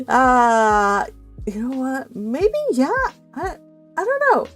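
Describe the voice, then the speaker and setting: A woman's voice: high-pitched, big pitch swings, takes it slowly, fairly bright tone, faint music behind. A woman chatting casually and giggly as host of a book club read-along. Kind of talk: casual chat.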